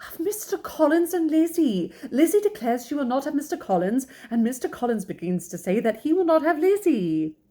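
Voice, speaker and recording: A woman's voice: high-pitched, big pitch swings, normal speed, hardly any pauses, dark tone, pitched above the performer's own voice. A woman voicing a flustered, melodramatic middle-aged mother from a classic novel, insistent and full of exclamations. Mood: frantic.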